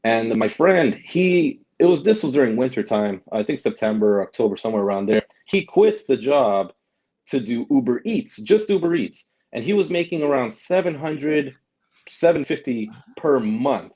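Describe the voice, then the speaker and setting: Man, warm mellow voice, rambling casual chat, dull lo-fi call audio. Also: medium pitch, expressive, moderate pace. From Germany. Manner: rambling, restarts, uses "like" and "right?". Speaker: guest, delivery driver and vlogger.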